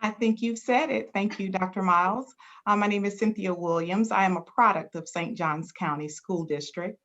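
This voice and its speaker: A woman's voice: medium pitch, normal speed, a bit bright, muffled recording. A woman from Florida, a school district director, introducing herself on a panel with composed pride. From Florida, USA. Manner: proud, composed.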